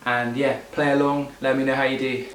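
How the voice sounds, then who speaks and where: A man's voice: medium pitch, brisk, rough recording. A man with an English accent hosting a casual video-call chat, telling stories loosely with lots of fillers. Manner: relaxed, chatty, lots of fillers. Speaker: show host and singer.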